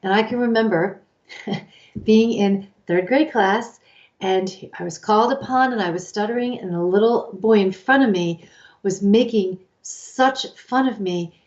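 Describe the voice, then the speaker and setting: A woman in her fifties co-hosts a casual advice chat in a mid-range voice. She explains in an animated way and slips into the voices of the people she quotes. The sound is a little dull and lo-fi. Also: mid-range voice, measured pace. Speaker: co-host, life coach.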